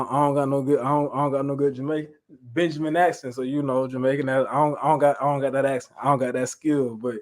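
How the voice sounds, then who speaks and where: A man's voice: mid-range voice, a little mellow. A man with an American accent giving an informal Bible lesson, mixing reading aloud with slangy, conversational retelling and repeating phrases for emphasis. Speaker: preacher.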